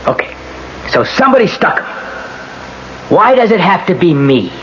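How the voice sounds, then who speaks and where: A defiant young American street kid in an old radio play. His slangy protests jump around animatedly in pitch. The muffled broadcast carries some background hiss. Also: big pitch swings, moderate pace, a bit bright. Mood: defensive. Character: defiant, streetwise young male gang member under arrest. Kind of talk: 1980s radio drama acting.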